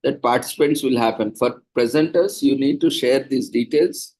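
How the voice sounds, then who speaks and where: A man's voice: medium pitch, moderate pace, a little mellow. A man organizing a seminar, patiently explaining procedures to participants over a video call. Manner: patient, instructive, plain and practical.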